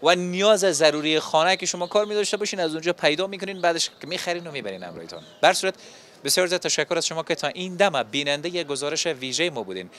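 A young male TV reporter speaks Dari with an Afghan accent. His voice is slightly high and very bright, projected into a handheld mic as he presents and interviews on location. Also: lively intonation. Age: young adult. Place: open-fronted electronics shop on a market street. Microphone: handheld reporter microphone.

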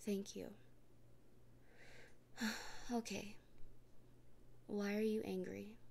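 A woman in a soft, gently reassuring comfort roleplay, often speaking in a whisper. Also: medium pitch, fairly even intonation, takes it slowly, gravelly. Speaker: roleplay performer speaking as a partner.

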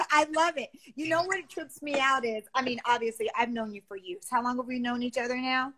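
Lively woman hosting a phone livestream. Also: high voice, moderate pace. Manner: animated, exclamatory, gestures a lot. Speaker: livestream host. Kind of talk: casual livestream chat. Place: bedroom, seated on a bed. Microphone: phone on a video call.

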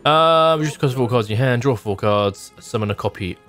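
A man's voice: mid-range voice, expressive, brisk, a bit bright. A man in his thirties giving casual gameplay commentary as a streamer, thinking aloud in short, musing remarks. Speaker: game streamer. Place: small home room, indoors. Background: background music.

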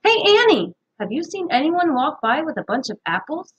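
A woman voicing an excitable, puzzled boy at summer camp in a storybook, urgent and questioning. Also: high voice, normal speed, lo-fi sound, pitched above the performer's own voice. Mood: curious, questioning.

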